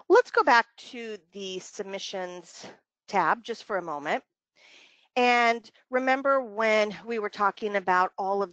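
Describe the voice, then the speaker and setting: Woman software trainer, dull dry recording. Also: medium pitch, no room sound. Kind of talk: conversational step-by-step software walkthrough. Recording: dull, lo-fi dry webinar audio.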